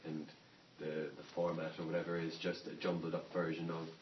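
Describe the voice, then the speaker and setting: Brief: male student, hesitant, very deep and monotone, heard from across a roomy classroom, faint noise. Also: a little fast. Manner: hesitant, searching for words. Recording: distant, roomy, lo-fi classroom recording.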